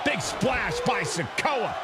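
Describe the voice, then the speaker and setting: A man's voice: high voice, very animated, slow pace, heavy background noise. A man calling a wrestling match as an emphatic play-by-play sports commentator. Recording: loud music and crowd behind. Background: loud arena crowd and music.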